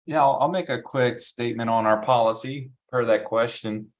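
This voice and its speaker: A man chairing a city advisory council meeting, brisk, procedural and polite. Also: mid-range voice.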